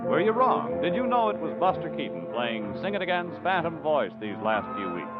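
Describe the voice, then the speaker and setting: High-pitched 1940s American radio announcer, animated man. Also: moderate pace. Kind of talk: 1940s radio announcing, scripted credits and promos. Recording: dull vintage radio recording, loud music.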